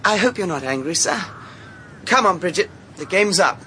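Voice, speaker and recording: A man's voice: sits high, lively intonation, normal speed, pushed and bright, slight background noise. A young male actor playing an eager, polite schoolboy prankster with a British accent in a radio drama. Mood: sheepish.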